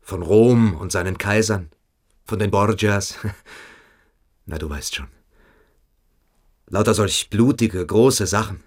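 An actor playing a submissive, evasive boarding-school pupil in a German radio play, hesitant and coquettish. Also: male voice, fairly low pitch, slow pace, long pauses. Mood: coy, confiding.

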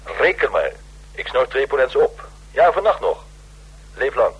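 An actor playing a brisk, loyal military officer in a Dutch radio play, clipped and urgent over a telephone. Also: male voice, medium pitch, very animated, breathy edge, heavily acted. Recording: dull, lo-fi telephone sound.

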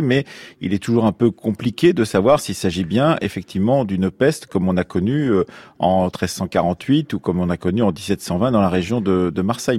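A man hosting a radio history interview in a studio, putting long, halting questions full of pauses. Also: lowish voice, darkish tone, dry recording.

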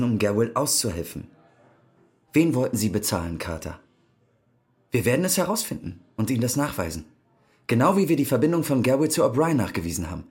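An actor playing an insistent, accusing police interrogator in a radio crime drama, with a pressing, official manner. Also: male voice, medium pitch, lightly acted. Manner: pressing, rapid-fire, official.

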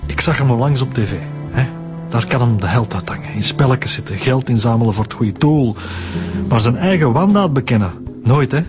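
A man's voice: medium pitch, expressive, a little fast, over music, natural voice. An actor with a Flemish accent playing a weary middle-aged man who confesses to a killing in a radio drama. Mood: resigned. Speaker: suspect.

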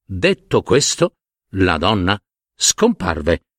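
Man, fairy-tale narrator, unhurried, highly animated intonation, dramatic pauses. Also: no room sound, clean studio sound. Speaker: storyteller.

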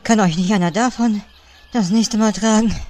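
A woman's voice: mid-range voice, moderate pace, a little mellow, slight background noise, lightly acted. A woman voicing a puny male gnome advisor in a comic audio play, fawning, whiny and pompously hesitant.

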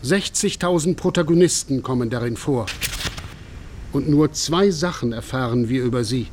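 A man reading a scripted radio documentary narration in a solemn, measured manner. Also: medium pitch, a little mellow, a little hiss or hum, lightly acted.

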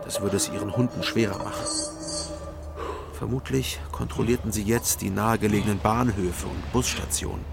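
Male, low register. Wry, guarded private detective and first-person narrator in a crime radio drama, music underneath. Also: fairly even intonation, moderate pace, vocal fry. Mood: measured narrating. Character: Frank Doberschütz, East Berlin private detective, wry, guarded man.

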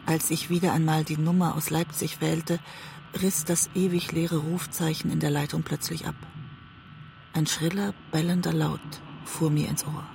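An actress playing a West German woman writer who narrates an obsessive love story in a measured, introspective way, as in a literary audio drama. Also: low voice, flat delivery, gravelly, noisy background. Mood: obsessive, reflective.

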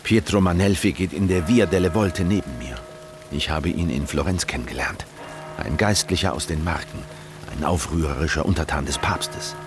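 An actor playing a calm, resolute leader of a secret heretical circle in a German radio drama, speaking with assured persuasion. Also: male voice, extremely low pitch. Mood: sober narrating.

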